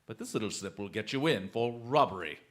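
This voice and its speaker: An actor playing a shrewd, commanding detective in a radio drama, speaking formally and deliberately with dry, theatrical mockery. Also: male voice, on the low side, expressive, fairly bright tone. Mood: triumphant. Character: shrewd, commanding male detective, coolly taunting and unflappable.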